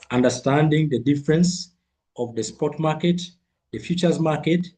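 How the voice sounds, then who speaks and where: A man coaching a group on a call while nursing a cold, his voice husky and rough at the edges. He repeats numbers and phrases to drive them home, steady and mid-pitched. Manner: repeats figures and phrases for emphasis. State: has a cold. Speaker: trading coach. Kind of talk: live online trading lesson.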